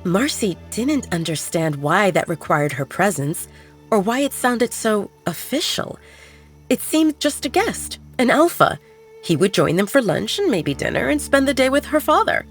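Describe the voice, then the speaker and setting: A woman narrating a romance audio story in a dramatic, expressive storytelling manner. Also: mid-range voice, unhurried, breathy edge. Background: background music.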